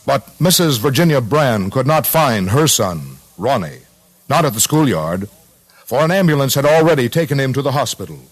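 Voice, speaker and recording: A man narrating a 1950s radio documentary in a solemn, dramatic, measured manner. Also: mid-range voice, sing-song. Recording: 1950s radio broadcast with music.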